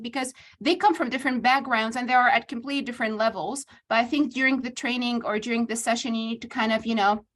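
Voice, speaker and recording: A woman's voice: on the high side, fairly bright tone. Woman explaining over a call. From Tunisia. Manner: many fillers and restarts, earnest and explanatory. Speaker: university professor and AI trainer. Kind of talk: spontaneous explanation in a virtual panel.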